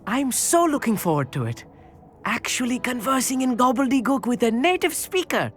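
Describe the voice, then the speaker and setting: An actor playing a bookish, polite teenage wizard schoolboy, earnest and a little pompous, in fantasy game dialogue. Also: male voice, very high voice, sing-song, moderate pace, fairly bright tone, full character voice. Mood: excited, proud. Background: faint background music.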